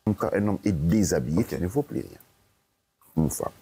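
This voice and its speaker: Deep gravelly man lecturing emphatically. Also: animated, moderate pace, mellow. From Italy. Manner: emphatic, repeats his points, checks with "ok?". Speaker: guest speaker. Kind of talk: lecturing interview answers.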